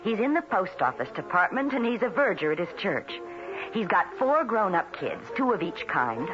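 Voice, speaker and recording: Actress as a feisty young reporter, muffled old radio. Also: female voice, mid-range voice, close to an everyday voice. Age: young adult. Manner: earnest, quick-witted, sassy. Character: ambitious young newspaper woman reporter, idealistic and feisty. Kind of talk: 1970s radio drama acting. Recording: muffled vintage radio broadcast, music behind.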